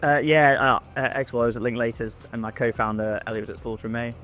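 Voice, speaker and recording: A man, a former lawyer turned founder, speaking casually with halting starts. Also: mid-range voice, steady tone, a bit bright, slight background noise. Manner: halting starts, repeats words. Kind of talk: casual panel discussion.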